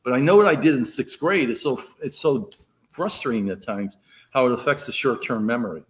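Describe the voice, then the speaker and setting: A middle-aged man from the Mid-Atlantic US co-hosting a podcast, talking loudly in casual chat and repeating words as he starts a thought. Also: mid-range voice, a little fast. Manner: loud, rambling, repeats words when starting. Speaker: podcast co-host.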